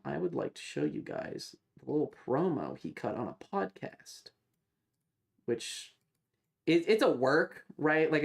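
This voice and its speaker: Slow-talking male streamer, rambling casually. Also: medium pitch, gravelly. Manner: rambling, halting, swearing. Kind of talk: casual livestream commentary.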